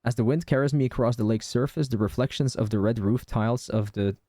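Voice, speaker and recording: A man's voice: mid-range voice, fast talker, dark tone, dry recording, studio quality. A man streaming a game playthrough in a casual, informal way, commenting and reading text aloud. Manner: informal, sweary. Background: game music.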